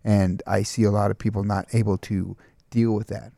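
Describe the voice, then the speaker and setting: A man's voice: lowish voice, flat delivery, moderate pace, vocal fry, warm tone, no room sound, studio quality. A man co-hosting a podcast, talking reflectively and hesitantly, often repeating words. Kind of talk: casual podcast conversation.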